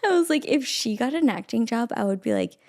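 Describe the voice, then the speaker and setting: A woman in her thirties with a high voice hosting a podcast at home, chatting casually and laughing often. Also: big pitch swings, a little fast, heavy background noise. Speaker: podcast host. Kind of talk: casual chat. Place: her house.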